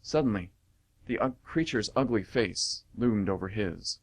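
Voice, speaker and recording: A man's voice: on the low side, unhurried. A man reading dramatic story narration as the narrator of an amateur radio play.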